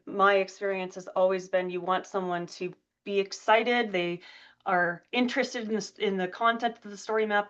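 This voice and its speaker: A woman with a cold leads a meeting, hesitant and halting, with lots of ums and restarts. Her voice comes through a dull, lo-fi video call line. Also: medium pitch. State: has a cold. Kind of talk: meeting presentation.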